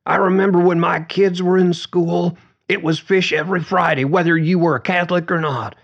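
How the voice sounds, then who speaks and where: A man's voice: sits high, fairly even intonation, normal speed, muffled recording, pitched above the performer's own voice. A man voicing a frail, confused, fretful old woman with a quavering voice, as character dialogue in an audiobook. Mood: querulous. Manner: fretful, disdainful.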